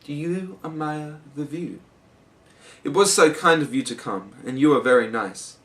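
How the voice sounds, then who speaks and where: Man playing storybook walrus, pompous, grand, smooth-talking, falsely sympathetic, slightly off-mic. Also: a little high, normal speed, a bit bright, echoey room, recorded from a distance, voice pushed up, lightly acted. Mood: falsely polite. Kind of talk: storybook poem recital.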